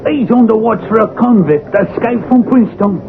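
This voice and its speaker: High-pitched wagonette driver, noisy radio. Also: male voice, lively intonation, normal speed. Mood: grim warning. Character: male wagonette driver, plain-spoken, warning gentlemen passengers. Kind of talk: radio drama acting. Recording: tinny, noisy old radio recording.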